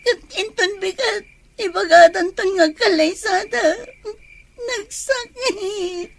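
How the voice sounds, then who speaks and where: Casting: actress for lovelorn young Filipino woman in audio drama, extremely high-pitched, wounded and sensitive. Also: takes it slowly, heavily acted. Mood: tearful, aching. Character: heartbroken young woman, tearful younger sister in love.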